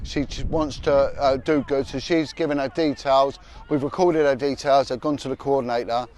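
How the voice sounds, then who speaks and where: A man's voice: mid-range voice, fairly even intonation, moderate pace, a little mellow, a little hiss or hum. A middle-aged man, hosting a live podcast outdoors in a city park, talking bluntly and with passion and repeating himself as he gets fired up. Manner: blunt, impassioned, repeats words when fired up. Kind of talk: outdoor live-stream chat with passers-by.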